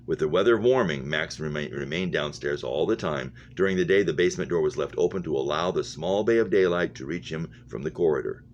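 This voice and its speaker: A man with a low, deep voice reads a novel aloud. He trips on words here and there and repeats phrases to get them right. The recording is dull and lo-fi, with a noticeable hum behind him. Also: expressive, a little fast, hardly any pauses. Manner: stumbles over words and rereads phrases. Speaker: reader, English teacher. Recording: muffled lo-fi audio with humming noise.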